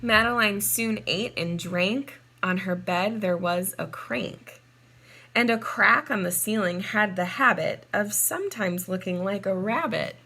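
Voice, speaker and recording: A woman reading a children's storybook aloud in a measured, deliberate and expressive way, drawing out words. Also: mid-range voice. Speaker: children's storybook reader, teacher. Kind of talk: read-aloud storybook narration for young children.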